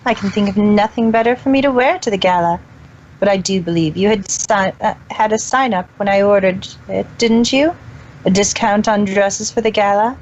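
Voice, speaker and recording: A woman's voice: mid-range voice, moderate pace, a little mellow, lightly acted. A woman voicing a smug, cold, imperious pony mayor with a haughty, commanding, formal way of speaking in an amateur fan fiction reading. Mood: smug approval. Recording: muffled with clear background noise.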